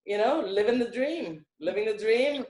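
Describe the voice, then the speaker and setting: A woman speaks in a slightly high voice from an echoey room. She sounds informal and playful as she chats about herself. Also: animated, normal speed, darkish tone. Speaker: panelist. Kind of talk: casual self-introduction.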